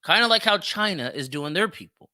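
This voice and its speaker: A man's voice: high voice, animated, fairly quick, sharp tone, no room sound, studio quality. A man hosting a podcast of opinionated solo commentary, speaking emphatically with plenty of swearing and repeating himself for emphasis. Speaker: podcast host.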